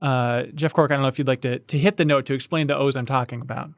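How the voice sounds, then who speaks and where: A man's voice: mid-range voice, steady tone, fast talker, no echo, clean studio sound. A man hosting a podcast, asking loose, joking questions with plenty of fillers. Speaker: podcast host. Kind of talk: casual interview questions.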